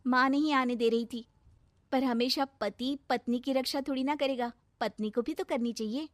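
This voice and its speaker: A woman acts a young daughter-in-law in a cartoon moral tale. She sounds dutiful yet spirited, polite but firm. The voice is fairly high and recorded dry. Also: steady tone, moderate pace, dry recording, lightly acted. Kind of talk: moral story cartoon voice acting.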